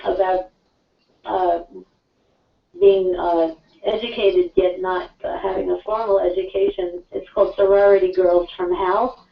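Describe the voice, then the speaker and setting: A woman's voice: fairly low pitch, fairly even intonation, a little slow, vocal fry, recorded from a distance. A woman in her fifties calling in, chatting eagerly with lots of fillers and laughter. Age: 50s. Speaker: caller. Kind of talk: casual chat. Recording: dull roomy audio from afar.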